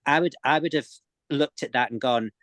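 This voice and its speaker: A man's voice: mid-range voice, moderate pace, fairly bright tone, dry recording. A man, a sewing expert guest, explaining techniques in casual chat, often repeating words as he goes.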